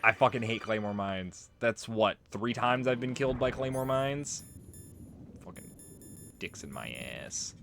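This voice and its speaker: A man's voice: medium pitch, animated, a little slow, a bit bright, a little hiss or hum. A man giving casual, rambling gameplay commentary, explaining things as he plays and swearing freely. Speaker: let's play host.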